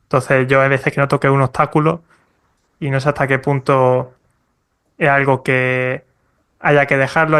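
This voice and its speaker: A man on a casual podcast panel, speaking in a thoughtful, measured way. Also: medium pitch, fairly bright tone, clear, resonant tone.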